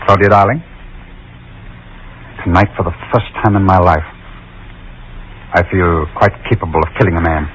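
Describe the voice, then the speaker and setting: An actor playing a bitter, defiant young husband in 1940s radio drama, sardonic, intense and theatrical. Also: male voice, low-pitched, normal speed, long pauses, highs cut off, slight background noise, natural voice. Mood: coldly menacing.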